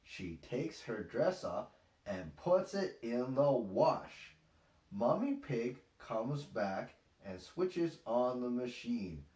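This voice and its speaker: A man reading a children's storybook aloud in an expressive, deliberate narration style. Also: mid-range voice. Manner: animated, deliberate delivery. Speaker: storybook reader. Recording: echoey, roomy sound.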